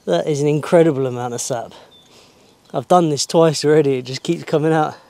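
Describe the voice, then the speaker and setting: A man's voice: on the high side, fairly quick, gravelly, a little mellow, dry recording. A man in his thirties filming his own building work outdoors at a sawmill yard, talking plainly and thinking aloud with fillers. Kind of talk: casual self-filmed vlog.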